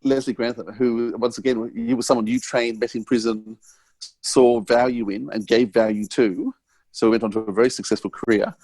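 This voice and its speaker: A man hosting a conversational podcast interview, tentative and halting as he works towards each question. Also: mid-range voice, fairly even intonation, a little mellow, dry recording, rough recording. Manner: tentative, halting, self-correcting.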